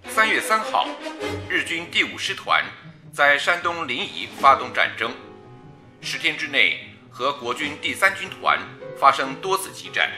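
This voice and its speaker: Animated wartime radio news announcer. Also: male voice, a little high, normal speed, music in the background. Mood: formal, urgent. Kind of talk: formal scripted news bulletin.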